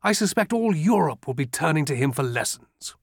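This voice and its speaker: A pompous, wordy colonel gushes with awe in a lifted, sing-song voice, put on by a man reading a story. He hedges and circles each thought, never getting to the point quickly. The sound is close and dry. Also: fairly high pitch, sing-song, gravelly, breathy edge, no room sound, voice pushed up, exaggerated voice. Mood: earnest, fawning. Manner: wordy, hesitant, awestruck. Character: pompous, fussy, gushing foreign colonel, middle-aged man.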